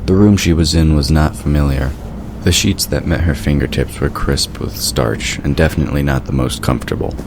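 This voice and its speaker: A man's voice: a bass voice, fairly quick. A man reading scripted story narration for an audio drama. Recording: clearly noisy background.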